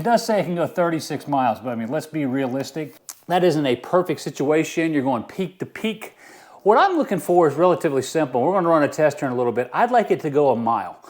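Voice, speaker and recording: A man's voice: fairly high pitch, a little mellow. A man talking casually through a product unboxing and review, informal and easygoing, thinking aloud as he goes.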